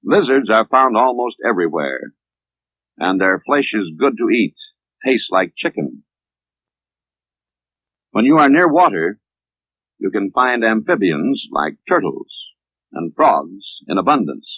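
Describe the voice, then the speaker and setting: A man's voice: on the low side, very animated, unhurried, long pauses, no echo. Tinny 1950s American male film narrator. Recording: tinny, band-limited 1950s film soundtrack.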